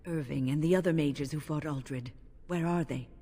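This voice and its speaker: An actress playing a composed, wise older female mage in a video game, speaking in a measured, earnest, instructive way. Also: low-pitched, dark tone. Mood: urgent, concerned. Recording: long hall reverb, music behind.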